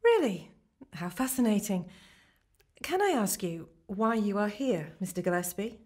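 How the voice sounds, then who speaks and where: A woman's voice: mid-range voice, animated, unhurried, darkish tone, slightly rough. A woman playing a composed, polite psychiatrist in a comedy radio play. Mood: calm, politely probing.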